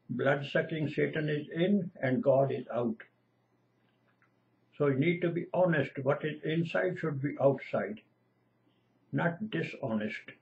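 An older man, a retired lecturer whose first language is Punjabi, slowly and earnestly expounding scripture at home. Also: on the high side, gravelly. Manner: slow, earnest, lecturing, repeats lists of divine names. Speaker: retired lecturer giving Bible exposition. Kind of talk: home-video scripture teaching. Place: small room indoors at home. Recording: dull lo-fi webcam audio.

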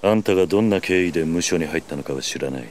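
A man's voice: low voice, darkish tone, close to an everyday voice. An actor playing a stoic, principled tough man in a video game drama, speaking tersely and firmly. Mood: stern, calm. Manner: terse, firm, measured. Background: background music.

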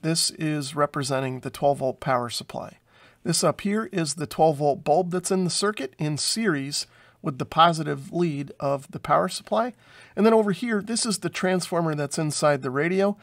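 A man in his forties speaks in a somewhat high register for a male voice. He explains things methodically and plainly, like a hobbyist presenting a hands-on tutorial. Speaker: radio repair hobbyist and presenter. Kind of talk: explanatory tutorial talk to camera.

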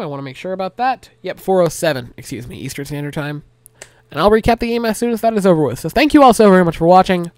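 A man's voice: high voice, clear, resonant tone, no echo. Congested young man recapping his team's game. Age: young adult. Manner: rattles off stats, then animated pep talk. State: congested, nasally stopped up. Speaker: sports recap youtuber. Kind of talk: solo game recap vlog. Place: small home room. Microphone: large desk mic close to mouth.

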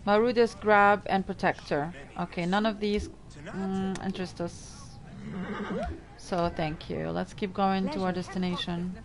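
A woman's voice: medium pitch, steady tone, a little slow, a crowd in the background. A young woman from the Middle East with an Arabic accent, chatting casually with her stream while playing. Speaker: gamer streamer. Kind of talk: casual let's play commentary. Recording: game music underneath.

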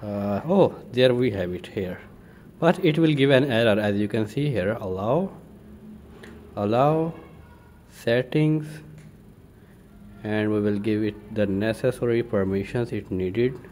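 A man presenting an informal step-by-step tech tutorial in a halting way, thinking aloud as he works. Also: fairly low pitch. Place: indoors at a computer desk. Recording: steady room noise.

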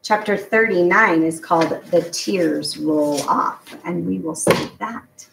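A middle-aged woman narrates a children's book with plenty of creak in her voice. She is recorded some way from the mic in a roomy, echoing space. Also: fairly low pitch, takes it slowly, background noise. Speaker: storyteller reading a children's novel aloud. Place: home garage, indoors. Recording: echoey room, distant mic.

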